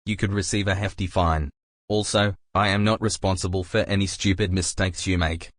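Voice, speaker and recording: A man's voice: sits low, steady tone, normal speed, a bit bright, dry recording. A man reading a scripted disclaimer as a video creator.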